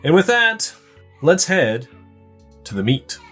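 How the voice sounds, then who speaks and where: A man's voice: on the high side, very animated, takes it slowly, a bit bright, soft background music. A man co-hosting a podcast with casual, chatty delivery. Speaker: podcast host.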